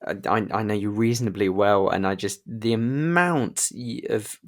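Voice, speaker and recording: Enthusiastic man with a low voice. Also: moderate pace. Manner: enthusiastic, encouraging, roundabout questions. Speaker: interviewer, podcast host. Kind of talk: casual interview chat.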